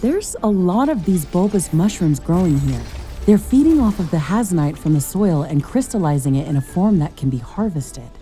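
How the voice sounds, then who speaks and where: Over prominent music, a female scientist-commando in a video game. Her voice sits fairly low. She is keen and self-assured, laying out analysis in crisp, scripted phrases. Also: big pitch swings, moderate pace, dark tone. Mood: fascinated, analytical. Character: Ashley, eager female scientist-commando in a mech suit. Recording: music bed behind the voice.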